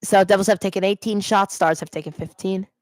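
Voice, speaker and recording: A woman's voice: medium pitch, steady tone, brisk, a little mellow, no room sound. A fan's livestream watch party, where a woman calls the hockey informally and corrects herself as she goes, recorded dry. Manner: exasperated Devils fan, self-correcting, explains plays informally. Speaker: play-by-play commentator hosting a fan watch party. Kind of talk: live amateur hockey commentary.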